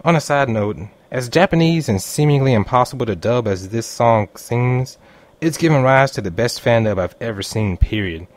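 A man's voice: mid-range voice, expressive, normal speed, a little hiss or hum. Man narrating a scripted review. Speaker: anime reviewer.

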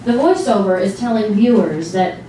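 A woman's voice: mid-range voice, animated, moderate pace. A woman giving a scripted dramatic narration as a stage reading. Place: small stage before a seated audience. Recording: distant mic, very echoey hall, noisy.

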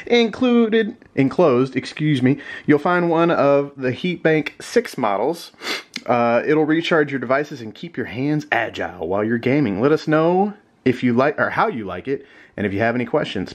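A talkative man sits on a carpeted floor, opening packages and thanking the senders as he goes. His voice sits at an ordinary middle pitch, and he rambles into asides in a relaxed, everyday way. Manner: chatty, grateful, rambling with asides. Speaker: gaming YouTuber unboxing fan mail. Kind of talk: casual unboxing chat.

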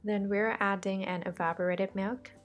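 A woman's voice: mid-range voice, fairly even intonation, normal speed, music in the background. Reassuring woman narrating a cooking lesson. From Thailand. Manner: friendly, reassuring step-by-step explaining. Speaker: cooking show host. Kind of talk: voice-over cooking instructions.

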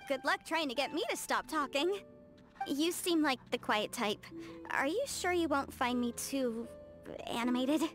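A bubbly young female game character with a high, girlish voice. She is eager, proud and talkative, swinging widely in pitch as she chats. Music plays underneath. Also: full character voice. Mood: chatty. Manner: animated, chatty, expressive. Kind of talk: English video game character voice lines.

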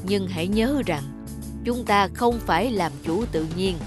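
A woman voicing a wise, patient old male tree spirit who teaches in a measured way in an animated fable. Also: mid-range voice, over music, lightly acted. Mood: wise, solemn. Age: older. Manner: measured, didactic, gently instructive.

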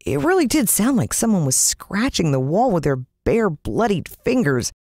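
An American woman narrating a children's story in the first person, expressive and suspenseful. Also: medium pitch, normal speed, no room sound, studio quality. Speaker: audiobook narrator.

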